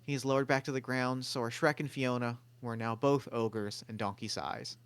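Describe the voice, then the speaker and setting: A man narrating the stage directions of a table read and hosting the stream, steady and matter-of-fact. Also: medium pitch, normal speed, smooth.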